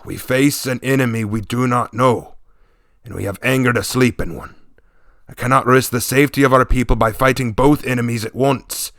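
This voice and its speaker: A man voicing a stern, gruff warlord chieftain speaking to his daughters, grave and commanding. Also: medium pitch, steady tone, fairly bright tone, dry recording, studio quality, pitched above the performer's own voice, close to an everyday voice. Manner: commanding, grave, slow and weighty.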